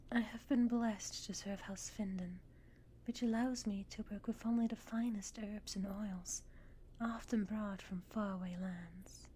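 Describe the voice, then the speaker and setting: A woman's voice: mid-range voice, a little hiss or hum, close to an everyday voice. Woman playing a whispering, devoted Regency lady's maid. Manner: hushed, formal, deferential. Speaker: ASMR performer. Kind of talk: whispered ASMR roleplay, scripted period narration.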